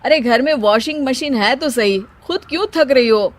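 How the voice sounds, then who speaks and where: A man's voice: very high-pitched, moderate pace. A young Indian husband in a comic family tale, played by a male voice actor. He is sarcastic and forever wisecracking. His delivery is teasing, with an exasperated edge. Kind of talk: Hindi family comedy story acting.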